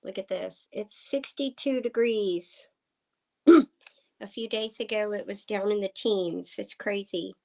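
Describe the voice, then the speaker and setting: Slow-talking slightly hoarse woman. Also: medium pitch, darkish tone. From Oklahoma. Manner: slow, chatty, talking to viewers. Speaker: livestream host. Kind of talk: casual livestream chat. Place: farm home indoors. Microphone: computer streaming setup.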